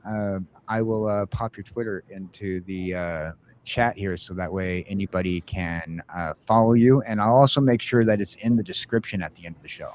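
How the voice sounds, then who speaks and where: A man's voice: deep voice, normal speed, a little mellow, slight background noise. A man hosting a casual morning show, rambling with lots of fillers. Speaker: host.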